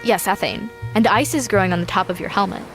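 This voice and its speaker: An actress playing a dreamy, romantic female astronaut in an audio sci-fi drama, speaking in halting, wistful musings. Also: mid-range voice, lightly acted. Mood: dreamy, tender. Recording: music behind the voice.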